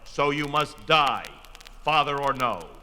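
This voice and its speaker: An actor playing an arrogant, power-hungry villainous sorcerer, defiant and melodramatic, in a fantasy audio drama. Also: male voice, a little high, animated, takes it slowly, pushed and bright, a little hiss or hum, heavily acted. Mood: menacing. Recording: reverberant 1970s record with music.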